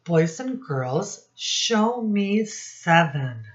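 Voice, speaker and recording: A woman's voice: on the low side, big pitch swings, very slow, highs cut off. A woman teacher leading young children through a counting lesson in an encouraging, sing-song way.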